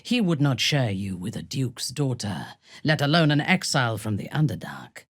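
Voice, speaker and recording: An actress playing a haughty, ruthless female dark elf warlord in a video game, imperious and formal, with a breathy, husky voice. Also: extremely low pitch, very animated, no echo, clean studio sound, heavily acted. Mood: cold, matter-of-fact. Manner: imperious, formal, deliberate, coldly menacing. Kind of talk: video game character dialogue.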